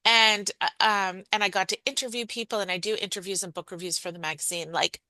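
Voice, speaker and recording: A woman author chatting candidly and quickly in an interview about how she became a writer. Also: mid-range voice, steady tone, pushed and bright, dry recording. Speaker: author guest. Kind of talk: casual interview conversation.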